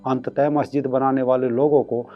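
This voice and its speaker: A man's voice: medium pitch, flat delivery, normal speed, lo-fi sound. A man hosting an online commentary show, reading a scripted piece with emphatic, rhetorical delivery.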